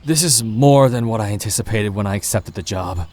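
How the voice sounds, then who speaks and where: Man putting on a gruff, world-weary tough guy. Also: fairly low pitch, brisk, darkish tone, close to an everyday voice. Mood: weary, exasperated. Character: gruff, foul-mouthed, world-weary male mercenary type. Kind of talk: visual novel dialogue read aloud.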